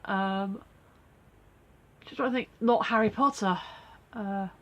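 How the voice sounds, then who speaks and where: A woman talks into her camera at home, her nose stuffed up and snuffly from a cold. She rambles along casually, laughing at herself and filling gaps with ums and sighs, in a mid-pitched voice. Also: slow pace, a bit bright. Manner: rambling, self-deprecating, many fillers. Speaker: vlogger. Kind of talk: casual daily video diary.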